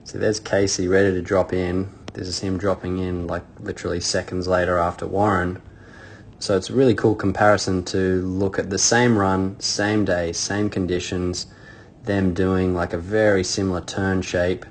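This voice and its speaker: A man's voice: deep voice, little pitch movement, moderate pace, a little hiss or hum. A man coaching skiing, talking casually over video footage, thinking aloud with many fillers and restarts. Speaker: ski coach. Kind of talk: casual video breakdown commentary.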